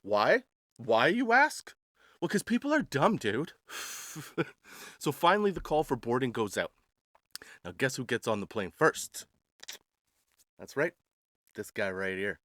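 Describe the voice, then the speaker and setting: Male, 40s. Sarcastic comic storyteller, crude and slangy, mid-pitched, recorded dry. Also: very animated, unhurried, pauses often, fairly bright tone, dry recording. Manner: slangy, crude, sarcastic, addressing listeners as dude. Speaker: podcast host telling travel stories. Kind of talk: casual comedic storytelling.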